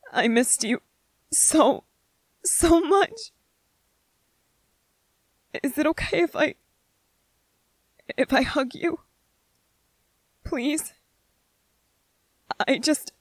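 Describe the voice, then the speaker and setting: Woman acting as anxious young man soldier, gentle, earnest, slow halting delivery, soft-spoken ASMR roleplay, dry close sound. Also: high voice, no room sound, poor audio. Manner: hesitant, halting, repeating phrases.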